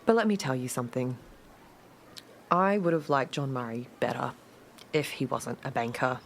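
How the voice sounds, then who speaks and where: A woman's voice: a bass voice, moderate pace, vocal fry, slight background noise. An actress playing an awkward, jilted woman in her late thirties, leaving hesitant, rambling, emotionally exposed voicemails in a radio drama. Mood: wounded, candid.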